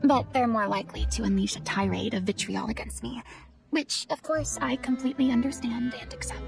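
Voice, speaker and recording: A woman's voice: on the high side, fairly even intonation, brisk, vocal fry, music in the background. A woman playing a dramatic, vulnerable young former stage idol in a video game, with theatrical, formal phrasing. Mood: anxious, resigned.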